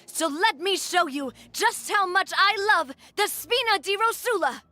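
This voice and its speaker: An actress voicing a confident, warm-hearted young woman who leads a gang, in a video game, speaking in an earnest, heartfelt way. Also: very high-pitched, animated, unhurried, slight background noise, exaggerated voice. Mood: resolute.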